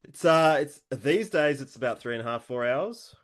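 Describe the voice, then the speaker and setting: A man from Western Australia with an Australian accent, a podcast guest talking in a relaxed, easygoing way. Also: medium pitch, normal speed. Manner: laid-back, laughs easily. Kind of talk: casual interview chat.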